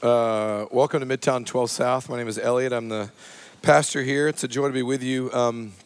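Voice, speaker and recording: A man with a hoarse voice, a pastor giving a sermon in a chatty, self-deprecating way, full of ums and uhs. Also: medium pitch, steady tone, moderate pace. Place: church service.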